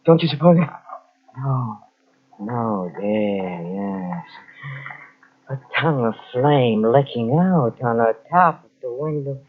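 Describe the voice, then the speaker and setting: Haunted guy going mad in an old radio horror play, stammery, pitch all over, dull tape sound. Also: lively intonation. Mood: manic. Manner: halting, breathless repetitions, melodramatic. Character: haunted Frenchman descending into madness, obsessed with invisible being. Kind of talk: old-time radio horror drama monologue. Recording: muffled old radio drama cassette transfer.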